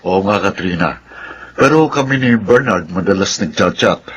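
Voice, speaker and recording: A middle-aged man playing a genial, gently courting old acquaintance in a Filipino radio drama. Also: deep voice, expressive, moderate pace, husky, full character voice. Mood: friendly.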